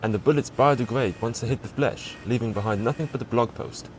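A man plays a young cartoon shop clerk, courteous and salesy. His pitch is middling, and faint noise sits behind the voice. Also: a little fast, lightly acted. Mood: helpful, salesy. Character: polite, smooth-selling young male store clerk.

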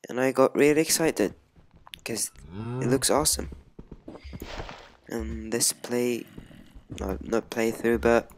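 A man's voice: mid-range voice, slow pace, long pauses, slight background noise. A young man from Sweden with a Swedish accent, rambling hesitantly through casual let's play commentary with many ums. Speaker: gamer. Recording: music playing behind. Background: music.

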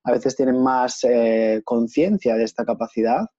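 A man in his thirties hosting a casual interview over a video call, speaking in a relaxed, thoughtful way with many hesitations. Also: medium pitch, normal speed, breathy edge. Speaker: host and certified facilitator. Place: small room at home. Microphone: earphone headset on video call. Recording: dull lo-fi video call audio.

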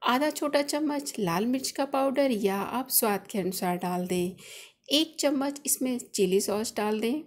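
A woman's voice: on the high side, unhurried. A woman hosting a cooking channel, explaining each step of a recipe in a chatty, instructive voice-over. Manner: friendly instructive, chatty home-cook explaining.

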